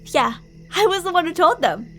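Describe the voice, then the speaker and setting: A woman's voice: very high-pitched, very animated, moderate pace, fairly bright tone, soft background music, heavily acted. A woman playing an obsessive, delusional young female stalker and former bully in an ASMR roleplay, clingy and emotionally volatile. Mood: dejected, confessing. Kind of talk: ASMR roleplay, dramatic monologue to listener.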